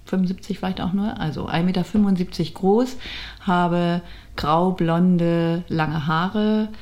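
Woman, lowish voice, slow pace, unscripted self-description, hesitant. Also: steady tone, darkish tone. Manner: hesitant, thinking aloud.

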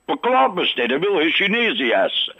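A man answering a phone call in a gruff, colloquial way, blunt and irritated, repeating his words. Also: a little high, sing-song, moderate pace, bright, forceful tone, no room sound. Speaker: call recipient at an eatery.

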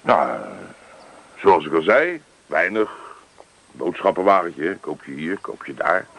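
An actor playing a folksy small-town car dealer in a Dutch radio play, with chummy, slightly hesitant sales patter. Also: male voice, low-pitched, lively intonation, unhurried, a bit bright, heavily acted. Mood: hesitant, accommodating.

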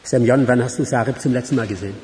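A man's voice: mid-range voice, racing, lightly acted. An actor plays a curt, commanding male crime boss in a radio drama. He gives brusque orders in a medium voice that rings in a long reverb, over slight noise. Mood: tense, urgent. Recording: reverberant hall, faint noise.

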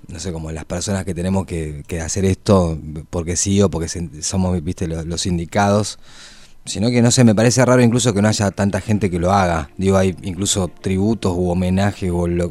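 A man's voice: low voice, warm tone. A man with a Rioplatense Argentine accent, talking in an informal, colloquial way as a musician being interviewed. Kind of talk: casual interview chat.

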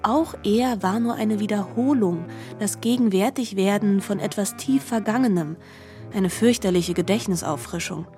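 Woman narrating literary prose, medium pitch. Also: normal speed, darkish tone, soft background music. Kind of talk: literary scripted narration for radio.

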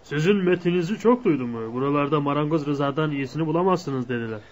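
An actor plays a courteous shopkeeper in a radio play. His voice is fairly high for a man and his manner formal, on a dull lo-fi recording. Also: expressive, fast, a little hiss or hum, close to an everyday voice. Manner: formal, polite, hesitant. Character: courteous, businesslike male shop attendant. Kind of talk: radio drama acting.

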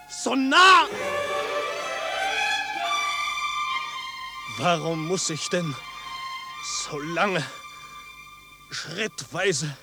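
Tormented young wanderer, forceful voice. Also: male voice, a little high, exaggerated voice. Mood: agitated outcry. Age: young adult. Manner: theatrical, brooding, swings from hushed murmur to loud outcry. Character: restless, tormented young male wanderer, dreamy and despairing. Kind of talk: 1950s German radio drama acting. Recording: 1950s radio production.

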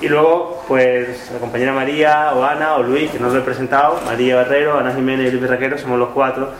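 A man's voice: medium pitch, little pitch movement, fast. A man presenting a book informally to an audience, hesitant, often repeating words and adding tag questions. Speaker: book co-author presenting the event. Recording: echoey room, background noise.

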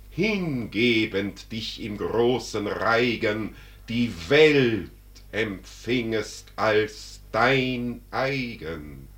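An actor playing Death as a solemn, majestic spirit, declaiming verse in a stately, commanding manner in a classic German radio drama. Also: male voice, on the low side, a bit bright, full character voice. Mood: exalted.

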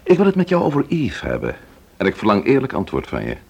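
Radio-play police inspector: low but widely swinging voice, composed, polite, probing, on a noisy old recording. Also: male voice, huge pitch swings, quick pace, creaky, a little mellow, breathy edge, no room sound, exaggerated voice. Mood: guarded, courteous. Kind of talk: radio drama acting.

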